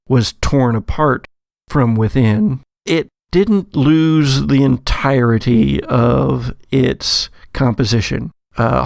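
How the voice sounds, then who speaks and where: A man working as game master, narrating a tabletop game in a deliberate, descriptive way with pauses mid-phrase. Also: mid-range voice, vocal fry, darkish tone, no room sound, studio quality.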